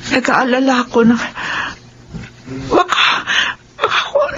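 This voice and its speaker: Dull, slightly noisy radio drama sound carries a middle-aged mother, high and bright in voice. She is anxious and pleading, with tearful, swooping delivery as she frets over her grown children. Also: very animated, measured pace, heavily acted. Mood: worried, sorrowful. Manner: tearful, pleading, emotionally heightened. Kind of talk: Filipino radio drama acting.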